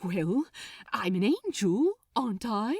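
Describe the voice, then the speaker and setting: Audiobook angel, male, played by a woman: gentle, proper and anxious, with highly animated intonation and dry, close sound. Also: takes it slowly, slightly rough, no echo, heavily acted. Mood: excited, earnest. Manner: polite, earnest, formal wording. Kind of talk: dramatic audiobook character acting.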